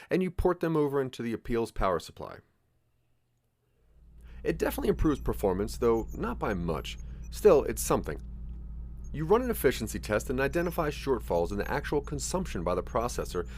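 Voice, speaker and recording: A man narrating a scripted second-person audio drama like a dramatic storyteller, addressing the listener as the main character. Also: fairly low pitch.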